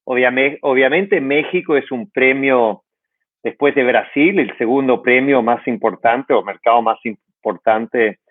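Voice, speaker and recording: A man's voice: mid-range voice, measured pace. A man hosting a podcast, asking questions in a conversational interview style. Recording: narrow, phone-like line.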